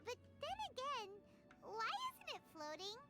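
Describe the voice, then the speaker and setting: An extremely high, squeaky little fairy girl chatters in a video game. Her pitch leaps about as she exclaims and fusses. Loud music plays behind her. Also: big pitch swings, a little slow, full character voice. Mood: puzzled. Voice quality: squeaky, childlike. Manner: exclamatory, fussy, speaks of self in third person.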